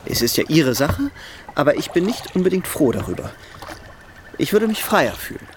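Charming, animated young gentleman suitor. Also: on the high side, normal speed, noisy background. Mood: curious, earnest. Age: young adult.

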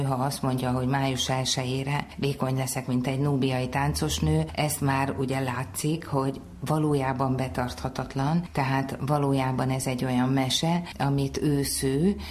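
Female commentator, very deep voice, wordy analytical explaining with wry examples, noisy recording. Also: darkish tone.

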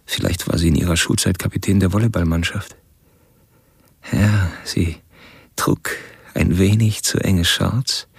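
An actor playing a cold, obsessive serial killer who confides his fantasies slowly and deliberately in a radio drama monologue. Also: sits low, vocal fry, slightly rough, no room sound, clean studio sound, full character voice. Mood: dreamy, menacing. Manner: slow, deliberate, self-absorbed confiding. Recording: voice over background music.

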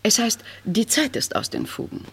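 An actress playing a self-assured, slightly vain crime novelist in a comic radio play, with an arch, dry and composed manner. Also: female voice, fairly high pitch, big pitch swings, normal speed, breathy edge, heavily acted. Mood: brisk, dry.

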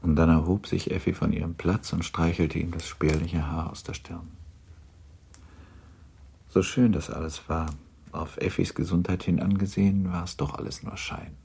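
Extremely deep, husky male narrator. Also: little pitch movement. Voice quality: husky, mellow. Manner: measured, restrained storytelling. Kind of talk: literary scripted narration for radio drama.

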